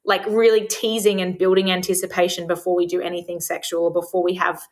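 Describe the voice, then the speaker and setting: Woman guest, sex therapist; loud voice, slightly low pitch, frank and chatty. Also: flat delivery, quick pace. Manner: frank, open about sex, filler-heavy "like". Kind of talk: casual podcast conversation.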